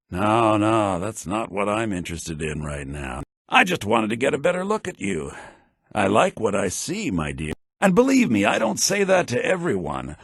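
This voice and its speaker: Pompous, raspy rich merchant with swooping intonation. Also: male voice, medium pitch, pushed and bright, dry recording, clean studio sound, exaggerated voice. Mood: lecherous, smug. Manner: pompous, smug, theatrical. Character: pompous, lecherous wealthy Nord merchant, haughty and scheming. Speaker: video game voice actor. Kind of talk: fantasy role-playing game dialogue.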